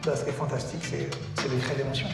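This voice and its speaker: A man from Congo, a chef, speaking thoughtfully in a short documentary interview and pausing often to find his words. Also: mid-range voice, flat delivery. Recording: strong echo with music over it.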